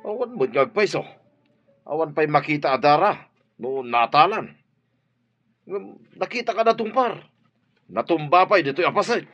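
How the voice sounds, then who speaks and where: A man's voice: on the high side, animated, moderate pace, pauses often, fairly bright tone, dull audio, faint music behind. A man playing a grumbling, indifferent, self-serving husband in a radio drama.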